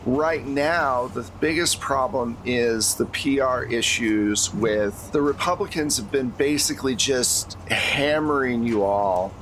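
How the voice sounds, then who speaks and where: A man's voice: medium pitch, fairly bright tone, slight background noise. An American man acting as game master, talking casually and conversationally as he narrates a tabletop role-playing game. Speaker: game master of an actual-play podcast.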